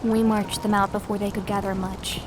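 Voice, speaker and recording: A woman playing a solemn, gentle ninja warrior in a fantasy audio drama, speaking in a subdued and formal way. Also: medium pitch, level tone, normal speed, noisy background, natural voice.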